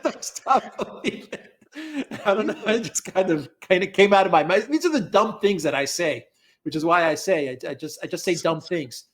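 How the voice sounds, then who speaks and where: A man's voice: high voice, lively intonation, moderate pace, a bit bright. Rambling, chuckling man on a live stream. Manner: rambling, repeats words, laughs often. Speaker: guest commentator. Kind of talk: casual live-stream chat.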